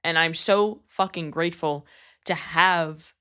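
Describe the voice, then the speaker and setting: A woman of about thirty hosts her own podcast in a personal monologue. Her voice sits slightly low, and she talks earnestly and candidly with casual swearing. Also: unhurried, a bit bright, clear, resonant tone. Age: 30s. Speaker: podcast host.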